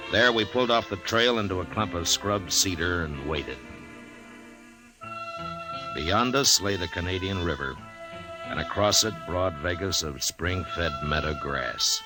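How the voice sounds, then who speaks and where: An actor playing a steady, courteous American marshal in an old radio western, measured and understated. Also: male voice, low-pitched, close to an everyday voice, low-quality recording. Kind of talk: old radio western acting and first-person narration. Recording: old radio broadcast with music behind.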